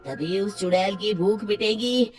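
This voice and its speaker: A woman voicing a solemn older male village exorcist in a cartoon story, speaking in grave, slow pronouncements. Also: medium pitch, lightly acted. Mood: commanding. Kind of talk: cartoon voice acting.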